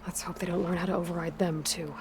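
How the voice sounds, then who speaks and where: An actress playing a determined, wry young outlander in a video game cutscene, with a direct, probing and dry delivery. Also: female voice, fairly low pitch, level tone, fast talker, background noise, natural voice. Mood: focused.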